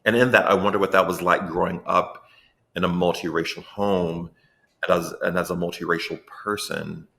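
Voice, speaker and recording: Very deep, gravelly-voiced male host. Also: steady tone, moderate pace, sharp tone. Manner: earnest, searching, repeats words while he thinks. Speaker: podcast host. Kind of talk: interview conversation.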